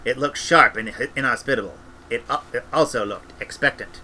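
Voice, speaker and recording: A man reading a story aloud as an expressive narrator. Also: mid-range voice, fairly quick, slight background noise. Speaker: audiobook reader, former radio presenter.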